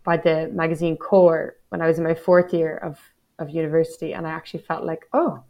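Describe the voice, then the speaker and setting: A woman's voice: low voice, level tone, fairly quick, highs cut off. A woman in her thirties with a Dublin accent, a poet talking about her writing life in a casual interview, reflective and self-deprecating. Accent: Dublin Irish. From Ireland. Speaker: guest poet.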